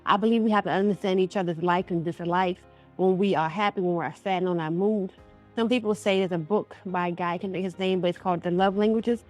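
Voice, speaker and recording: A woman's voice: fairly low pitch, fairly even intonation, fast talker, darkish tone, faint music behind. A woman hosting a conversational interview show, talking in a folksy, affirming way and addressing listeners directly. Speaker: radio show host.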